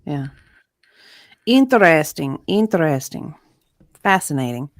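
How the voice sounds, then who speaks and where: A woman's voice: sits low, sing-song, unhurried, no room sound, clean studio sound. A woman, chatting casually and reading aloud as co-host of an online show. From Southern United States. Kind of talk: casual chat with read-aloud passages.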